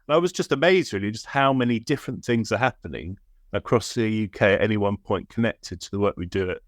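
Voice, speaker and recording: A man's voice: fairly low pitch, fast, no echo, clean studio sound. A man hosting a podcast, asking questions in a chatty way and hedging with fillers. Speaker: podcast host, foster carer. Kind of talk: conversational interview.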